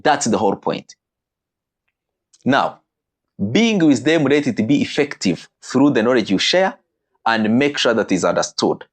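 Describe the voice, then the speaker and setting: Guy teaching about marriage, medium-pitched voice, keeps leaping up high then slowing right down to stress a point. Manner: animated, with sudden pitch leaps and slowed emphatic phrases.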